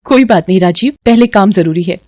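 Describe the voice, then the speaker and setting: A woman's voice: mid-range voice, fairly quick, a little mellow, a little hiss or hum, close to an everyday voice. A woman playing a devoted young bride in an audio family drama, tender and pleading. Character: devoted young bride, soft and grief-stricken.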